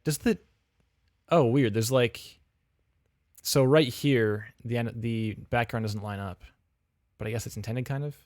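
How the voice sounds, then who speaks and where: A man's voice: mid-range voice, unhurried, pauses often, darkish tone, no room sound, studio quality. Chatty man giving frank livestream feedback. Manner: chatty, frank, full of restarts and fillers. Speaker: livestream host reviewing game audio reels.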